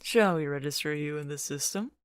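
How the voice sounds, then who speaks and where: A woman plays a young male clinic receptionist in an audiobook-style story. He sounds gentle, polite and cheerfully helpful, with a dry, close sound. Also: deep voice, fairly quick, dry recording, lightly acted. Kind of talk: scripted audiobook character dialogue.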